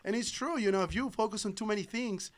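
A man's voice: high-pitched, quick pace. A man in his thirties from Ecuador, a native Spanish speaker, chatting agreeably as a podcast guest. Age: 30s. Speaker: podcast guest, solar business owner. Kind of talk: casual interview chat. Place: small padded podcast studio. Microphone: boom-arm studio microphone.

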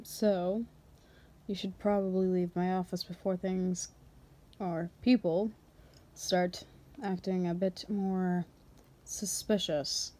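A woman's voice: on the low side, level tone, slow, dark tone. A woman voicing a confident female boss in an ASMR roleplay, teasing her employee in a controlled, coy manner. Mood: composed, coy.

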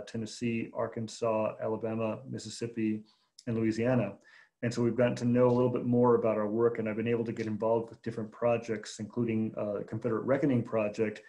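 A man's voice: on the low side, mellow. A man in his forties from Chicago, talking as a guest on a video call interview, thoughtful with many fillers and restarts. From Chicago, USA. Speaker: guest, newspaper opinion editor. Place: home room. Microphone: laptop on video call.

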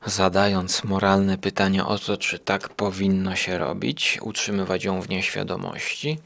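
A man hosting a podcast, giving an informal review in a halting way, rephrasing as he goes. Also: sits low, steady tone, vocal fry, a bit bright.

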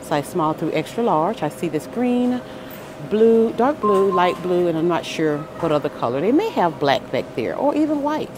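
A woman's voice: on the low side, very animated, normal speed, background noise. A woman filming a shop-with-me video in a large store, upbeat, reading out each item and its price with little exclamations of delight. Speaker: shopping vlogger. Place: aisles of a large grocery store, indoors. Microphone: handheld phone camera.

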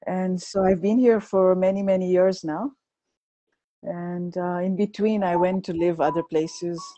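A middle-aged woman raised in Rwanda with French as her first language, talking softly and hesitantly as a guest on a video call. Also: on the low side, fairly even intonation, a little slow, no room sound. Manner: soft-spoken, hesitant with many ums. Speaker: guest, visual artist. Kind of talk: casual video call interview. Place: home room indoors. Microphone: earphones on video call.

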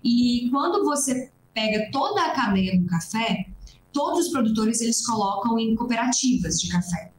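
Young Brazilian woman, coffee-farmer guest, high-ish voice, earnest and long-winded, echoey webcam audio. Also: lively intonation, moderate pace, noisy background. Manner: earnest, explains at length with many restarts. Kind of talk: explanatory interview talk. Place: small indoor room at home. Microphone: laptop webcam on a video call. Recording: roomy video-call audio.

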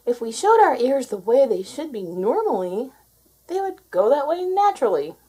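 Lady doing a fretful lil bunny, kids storybook, earnest n exclaiming, high voice. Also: sing-song, normal speed, dark tone, slightly rough, full character voice. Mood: hopeful. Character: fretful, earnest little bunnies. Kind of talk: children's storybook read aloud.

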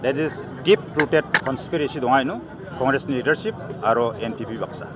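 A man's voice: mid-range voice, expressive, a little fast. A middle-aged man with a Northeast Indian accent, speaking as a political candidate in an argumentative, emphatic press interview. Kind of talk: press interview, mixing in English. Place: outdoors at an evening gathering. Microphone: handheld news microphones held close. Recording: busy outdoor crowd behind voice. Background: crowd noise.

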